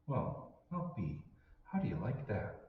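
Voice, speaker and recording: Echoey, lively actor as an everyman office worker. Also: fairly low pitch, a little slow, noisy background. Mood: bewildered. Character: bewildered, shaken everyman office accountant. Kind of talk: old-time radio drama acting. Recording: very echoey hall, distant mic, muffled.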